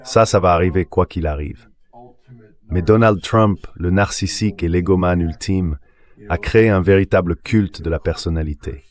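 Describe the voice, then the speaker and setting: A man's voice: low-pitched, normal speed, a little mellow. A man reading a translated voice-over of political commentary, speaking assertively and emphatically, often with rhetorical questions. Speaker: interview guest, political commentator.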